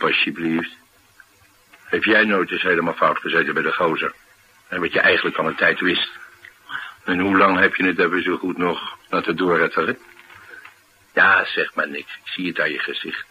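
An actor playing a rough but loyal bodyguard and driver in a naturalistic radio drama, with a gruff, plain-spoken, slangy way of talking. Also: male voice, sits low, fairly even intonation, moderate pace, pushed and bright, a little hiss or hum, low-quality recording. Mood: challenging.

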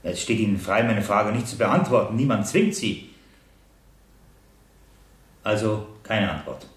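An actor playing a formal, coolly courteous state prosecutor in a radio play, probing a witness in measured legal German. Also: male voice, lowish voice, natural voice. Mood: coolly insistent. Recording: echoey 1970s radio drama studio.

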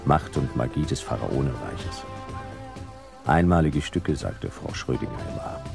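A hard-boiled male narrator for a radio detective play. His voice is extremely deep and creaky, with terse, dry delivery. Music plays clearly behind him. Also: animated, unhurried, heavily acted. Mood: dry, wry. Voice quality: gravelly.

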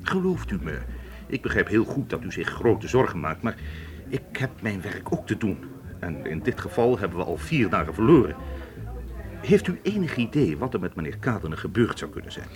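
An actor playing a polite but suspicious, stern Egyptian police inspector in a radio drama, questioning formally and insistently. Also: male voice, fairly low pitch, big pitch swings, moderate pace, crowd noise. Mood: stern, admonishing.

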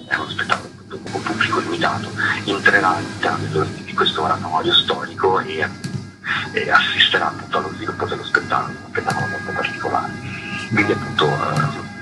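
Very deep-voiced man explaining, over loud music. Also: low-quality recording. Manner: hesitant, repeats words while explaining. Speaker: festival artistic director, guest. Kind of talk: radio interview, explanatory talk. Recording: music playing loudly under the voice.

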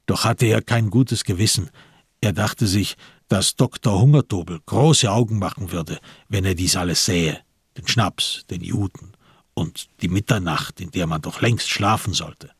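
A man narrating a radio crime drama in a measured, literary, sober way, with a husky voice. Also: low-pitched, no echo, clean studio sound, full character voice. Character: sober storyteller of a crime tale.

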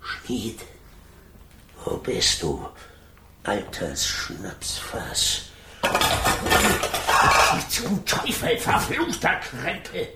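A sly, grumbling rogue in a children's radio play. He talks slowly with a very lively melody, full of theatrical mutters and self-pitying exclamations. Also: medium pitch, very noisy, heavily acted. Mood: cursing, furtive.

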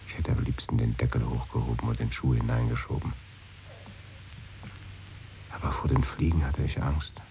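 Radio-play role: a very low-voiced, whispering, melancholy man. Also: mellow, slight background noise, rough recording. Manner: musing, often drops to a whisper. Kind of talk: 1980s radio play acting.

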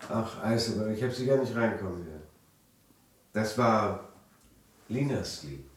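An actor playing a grieving husband who is a smooth liar, in a German radio drama. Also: male voice, fairly low pitch, animated, a little slow, heavy reverb, recorded from a distance. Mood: subdued, grieving.

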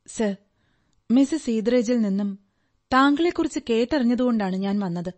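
A woman's voice: on the high side, lively intonation, normal speed, darkish tone, natural voice. A woman playing an earnest, talkative, polite client who explains her troubles in detail in a radio drama. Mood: earnest, pleading. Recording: radio drama with music behind. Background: music.

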